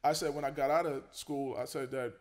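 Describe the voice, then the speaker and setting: A quick-talking man, at an ordinary pitch for a man. He tells his story in a relaxed, casual way, full of fillers and restarts. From Queens, New York. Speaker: college basketball head coach, guest.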